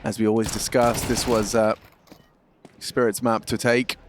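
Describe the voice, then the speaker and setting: A man doing play-by-play esports commentary who gets excitable and jumps up in pitch on big plays. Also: moderate pace, background noise.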